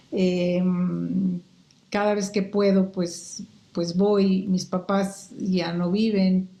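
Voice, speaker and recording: Older Guatemalan woman with a Mexican accent, animated guest, middle pitch, roomy sound. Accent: Mexican Spanish. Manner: animated storyteller, picks up accents. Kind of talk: casual interview chat.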